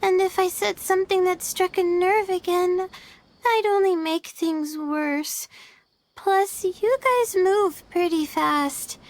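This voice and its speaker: A woman voicing a gentle, self-doubting, tired young woman in a video game, speaking hesitantly. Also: very high-pitched, slow, exaggerated voice. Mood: apologetic, weary.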